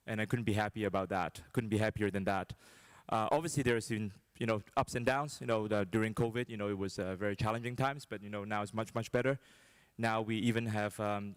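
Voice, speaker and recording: Man, low smooth voice, dry sound, relaxed panel answers. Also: no room sound. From Hong Kong. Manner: relaxed, many fillers like um and you know. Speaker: panel guest, fund manager. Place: conference stage.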